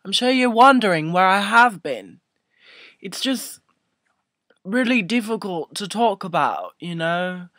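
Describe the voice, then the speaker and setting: A man in his twenties telling a comic story to camera as a vlogger, halting and mock-emotional, swelling and hushing, close to a webcam mic in a small room. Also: high-pitched, animated, no room sound. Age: 20s. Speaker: video blogger. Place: small furnished room indoors. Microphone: built-in webcam mic up close.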